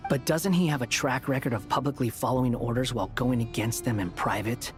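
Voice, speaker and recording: A man's voice: medium pitch, brisk, faint music behind, natural voice. A man playing an aloof, sardonic young wanderer in an anime game, with a dry, cutting manner.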